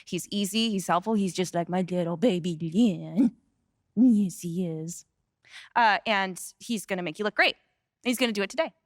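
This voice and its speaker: A woman's voice: medium pitch, moderate pace, no echo, clean studio sound, close to an everyday voice. A woman playing a bossy, quirky athlete's handler with an American accent, rambling chattily in a video game. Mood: breezy, persuasive. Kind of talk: conversational video game cutscene acting.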